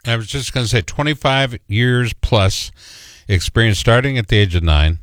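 A man's voice: mid-range voice, expressive, normal speed, no room sound. A man hosting a casual interview show, jokey and loose with fillers. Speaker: podcast host.

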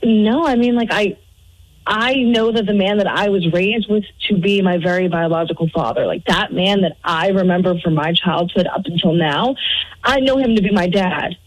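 A woman's voice: mid-range voice, moderate pace, no echo, rough recording. Hesitant American woman calling a radio show. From Chicago, United States. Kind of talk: casual personal account.

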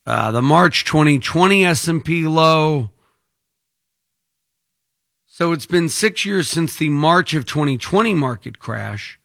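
A man with a forceful, bright voice pitched a little high hosts a financial talk show. He speaks at an unhurried pace and works through his thoughts aloud. The delivery is conversational and unscripted, wandering as it goes. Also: animated, creaky. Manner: rambling, conversational, thinking aloud. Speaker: financial advice show host.

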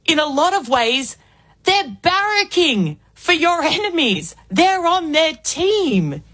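Australian woman, 40s, talk radio host; emphatic rhetorical delivery, fairly high voice. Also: big pitch swings, slow pace, a bit bright. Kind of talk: political talk radio monologue.

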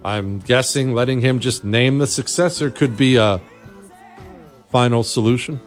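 A man's voice: mid-range voice, measured pace, faint music behind. Scornful male political show host. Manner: scornful, sarcastic. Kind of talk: opinionated monologue commentary over played clips.

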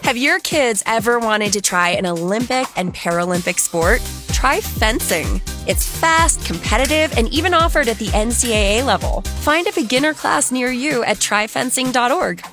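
A woman's voice: a little high, expressive, normal speed, few pauses, music in the background. A woman reading an upbeat commercial. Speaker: ad announcer.

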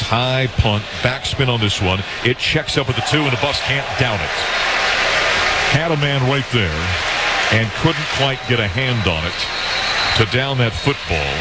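A man from North Dakota calling a college football game on radio from a hot stadium booth, brisk and jovial. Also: mid-range voice, heavy background noise. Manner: brisk, jovial, self-deprecating. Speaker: play-by-play announcer. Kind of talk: radio sports commentary.

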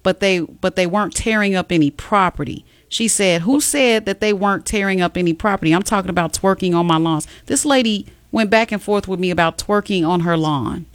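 A woman hosting a radio call-in show, animated and excitable, often talking over her callers. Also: mid-range voice, fairly quick, few pauses, no room sound.

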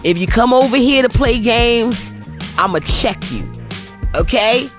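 A woman's voice: on the high side, expressive, unhurried, over music. A woman hosting a podcast, reading its promo in a sassy, blunt, slangy way.

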